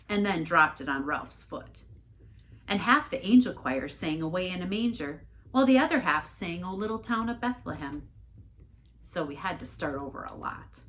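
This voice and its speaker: Animated, lower-pitched woman reading a story. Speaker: story reader, church staff member. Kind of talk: expressive storybook narration.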